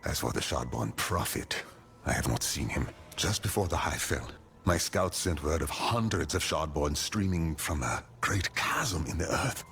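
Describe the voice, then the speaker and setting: Stern gravelly army commander. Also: male voice, extremely low pitch, expressive, moderate pace, fairly bright tone, slight background noise, full character voice. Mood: grave, resolute. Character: battle-hardened male army commander, stern, dutiful, urgent. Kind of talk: video game voice acting.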